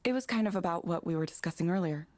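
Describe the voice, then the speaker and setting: Woman acting reserved, low-voiced, understated woman. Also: animated, fast talker, darkish tone, no room sound, natural voice. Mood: guarded, apologetic. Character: reserved, modest, guarded woman, former tech researcher. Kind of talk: naturalistic visual novel acting. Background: game music.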